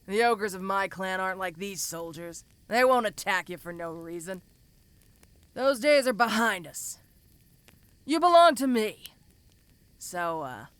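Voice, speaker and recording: A woman's voice: mid-range voice, a bit bright, clean tone, dry recording, natural voice. A woman voicing a domineering giant ogre mercenary in an audio roleplay, speaking to the listener in a casual, commanding, teasing way. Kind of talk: first-person audio roleplay addressed to the listener.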